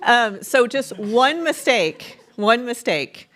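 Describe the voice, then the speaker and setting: A woman's voice: a little high, sing-song, slow pace, fairly bright tone. Chatty woman moderating a live panel. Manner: informal, chatty, playful. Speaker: panel moderator, show host. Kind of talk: casual live event moderating.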